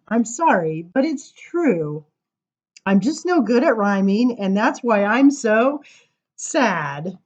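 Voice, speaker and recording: Woman, children's read-aloud, highish voice, slow pace, dramatic emphasis, slightly dull recording. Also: a little mellow. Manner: informal, with dramatic emphasis and drawn-out words. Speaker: library story time reader.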